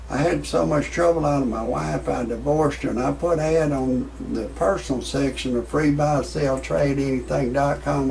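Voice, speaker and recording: A man's voice: on the high side, gravelly, a little mellow, slight background noise, poor audio. A man in his sixties giving a folksy, rambling amateur comedy monologue to his online audience. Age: 60s. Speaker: host of own online comedy show. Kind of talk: amateur stand-up style monologue with commentary.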